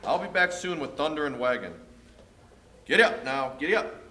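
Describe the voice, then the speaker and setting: A man's voice: on the high side, expressive, fairly quick, very noisy, close to an everyday voice. A man playing a plain-spoken, practical marshal in an old-time Western radio play, using folksy cowboy phrasing. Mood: puzzled, practical.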